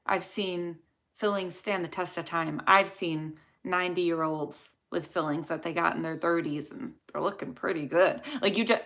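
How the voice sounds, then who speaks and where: A woman's voice: lowish voice, steady tone, normal speed, a bit bright. A young woman with a Chicago accent, a dental hygienist chatting through a livestream Q&A and answering viewers' questions in a rambling, casual way. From Chicago area.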